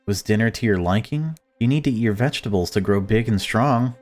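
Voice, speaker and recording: A fretful, prim mother from a visual novel, acted out by a low-voiced man. The manner is chatty and insistently upbeat, with forced cheer. Faint music plays underneath. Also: a little fast, close to an everyday voice.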